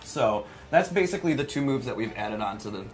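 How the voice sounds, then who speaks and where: A man's voice: mid-range voice, expressive, a little fast. An enthusiastic man presenting a video game demo in a casual commentary style. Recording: music playing behind the voice.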